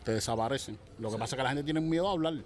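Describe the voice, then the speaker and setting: A man with a mid-pitched voice answers informally in a street interview. His speech is very fast, with faint outdoor noise behind him.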